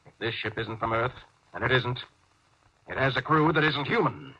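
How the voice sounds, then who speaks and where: Suspicious hot-tempered space captain, sharp intense voice, old muffled radio show. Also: male voice, mid-range voice, normal speed, husky. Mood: brooding. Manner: commanding, brooding, theatrical. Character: tense, distrustful, short-tempered spaceship captain. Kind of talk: 1950s radio drama acting. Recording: muffled, noisy 1950s radio recording.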